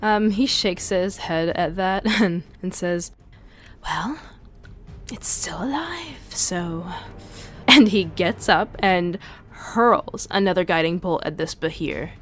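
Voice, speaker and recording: A woman's voice: lowish voice, a little slow, faint music behind. A woman playing a tabletop game, casually describing what her character does.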